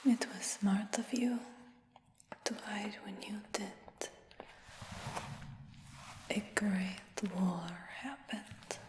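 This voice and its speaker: A woman voicing a calm, scheming female dragon hunter in an ASMR fantasy roleplay, in a slow, soft whisper. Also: mid-range voice, background noise. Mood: softly menacing. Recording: reverberant whisper with long echo.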